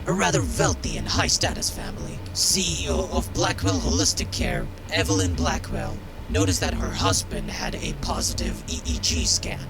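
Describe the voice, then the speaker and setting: An actor playing a blunt, mocking, foul-mouthed military sergeant briefing a new officer in a video game. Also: male voice, lowish voice, sing-song, moderate pace, creaky, hardly any pauses, music in the background, rough recording. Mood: scornful. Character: gruff, foul-mouthed, callous male military medical sergeant. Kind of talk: game character dialogue.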